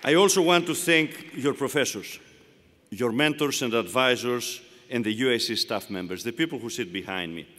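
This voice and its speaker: A man's voice: medium pitch, fairly bright tone. Greek-accented man speaking in a big hall. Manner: measured, rhetorical, phrase-by-phrase delivery. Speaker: university leader giving commencement address. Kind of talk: formal commencement speech. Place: large hall. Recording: long reverb of a large hall.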